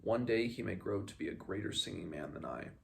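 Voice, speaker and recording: A solemn old male shaman read by a man recites in a low, creaky voice. The delivery is formal and grave, like a storybook death song. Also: lively intonation, a little fast, a bit bright, slight background noise, heavily acted. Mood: solemn farewell.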